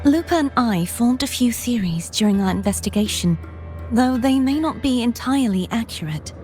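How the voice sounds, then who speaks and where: An actress playing a solemn, courteous mystical warrior woman in a video game, speaking in a formal, measured, grave way. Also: fairly high pitch, animated, darkish tone, natural voice. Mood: grave, composed. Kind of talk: scripted game dialogue. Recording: studio voice under prominent game music.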